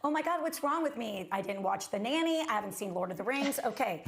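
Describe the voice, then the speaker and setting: A woman's voice: fairly high pitch, expressive, quick pace, gravelly. A woman anchor co-hosting in casual chat, with an effusive, exclamatory manner. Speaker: TV anchor and co-host.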